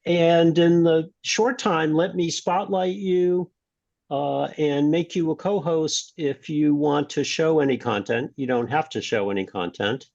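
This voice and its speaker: A man hosting an online meeting, talking in a halting way with pauses. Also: on the high side, gravelly, a little mellow, dry recording. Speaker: meeting host. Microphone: video call. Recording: muffled video-call audio.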